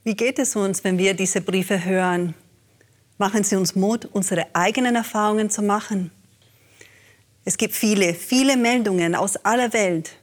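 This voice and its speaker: Woman host reading listener letters, mid voice, soft and reflective. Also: mid-range voice.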